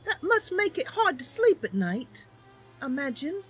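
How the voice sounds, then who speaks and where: A woman's voice: high-pitched, big pitch swings, moderate pace, soft background music, voice pushed up. A woman voicing an earnest, apologetic young female wood elf for a game roleplay, hesitant, naive and plain-spoken. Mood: candid, sympathetic.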